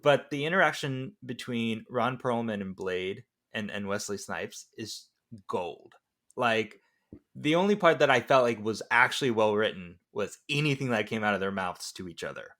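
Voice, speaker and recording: A man in a casual film discussion, talking in a joking, animated way. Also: lowish voice, moderate pace.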